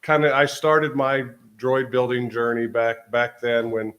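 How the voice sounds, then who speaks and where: Texas guy giving a con talk, lots of uhs and restarts, mid-pitch voice. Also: flat delivery, a little slow, fairly bright tone. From Texas, USA. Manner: chatty, many fillers and restarts. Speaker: convention speaker. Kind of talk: casual conference presentation. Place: convention hall stage.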